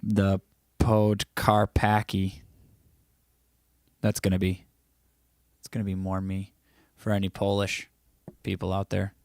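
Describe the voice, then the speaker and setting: A man's voice: low-pitched, monotone, slow pace, long pauses, darkish tone, clear, resonant tone, no echo. A man hosting a casual livestream, chatting loosely and teasing his viewers as he reads their comments aloud. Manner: rambling with frequent restarts. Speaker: livestream host.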